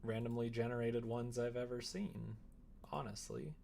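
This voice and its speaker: A man's voice: mid-range voice, fairly even intonation, moderate pace, creaky, mellow, slight background noise. A man streaming live, chatting casually with viewers and rambling as he thinks aloud.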